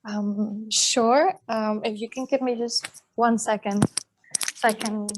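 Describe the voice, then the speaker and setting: A woman's voice: a little high, fairly even intonation, slow pace, poor audio. A young woman from Andalusia in southern Spain with a Spanish accent, chatting casually on a video call from her workplace as a student guest, with hesitant fillers and restarts. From Jerez, Andalusia, Spain. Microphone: video call from work.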